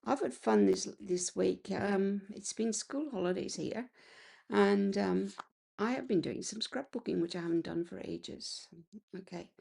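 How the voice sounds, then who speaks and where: Slow, gravelly woman with croaky voice. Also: medium pitch, mellow. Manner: chatty, clears throat often. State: slightly croaky voice. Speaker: card-making crafter. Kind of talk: casual craft tutorial.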